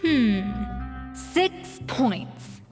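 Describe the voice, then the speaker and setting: A woman's voice: medium pitch, expressive, extremely slow. An actress playing a proud, exacting young female chef in a video game, with a commanding, theatrical and bluntly critical manner. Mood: withering. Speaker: chef judging dishes. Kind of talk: English video game voice acting. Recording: reverberant, with game music behind. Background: game music.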